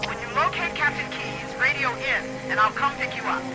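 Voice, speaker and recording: An actress playing a brisk military dropship pilot in a video game cutscene. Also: female voice, high voice, over loud music, close to an everyday voice. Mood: brisk, businesslike.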